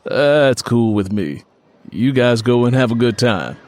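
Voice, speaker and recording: A man's voice: medium pitch, lively intonation, unhurried, a little mellow, no room sound, lightly acted. A man voicing an irritated, blunt, sarcastic husband in an audiobook. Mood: sarcastic, dismissive. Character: irritated, gruff husband and brother, adult man.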